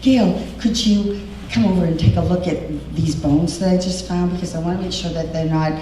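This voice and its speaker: A woman hosting a community event and speaking loudly to an audience, chatty and rambling, with many fillers. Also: on the low side, steady tone, fairly quick. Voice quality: loud voice. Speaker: event host and diver. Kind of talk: casual public speech to an audience. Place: large echoey hall with an audience. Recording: echoey hall, voice from far off, faint noise.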